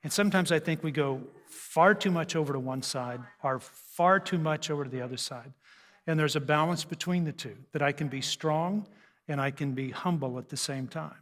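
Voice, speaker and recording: A man's voice: on the high side, moderate pace, a bit bright. An older man giving a folksy, joking, conversational talk on family life to a live audience, poking fun at himself. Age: 60s or older. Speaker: speaker on raising teens, radio host. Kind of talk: humorous seminar talk to a church audience. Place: large church hall, speaking to an audience. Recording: live hall with long reverb.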